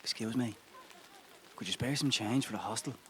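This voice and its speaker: A man's voice: mid-range voice, normal speed, fairly bright tone, close to an everyday voice, rough recording. A man acting a desperate homeless Irish father in a radio play, pleading, exasperated and prone to swearing. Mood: weary begging.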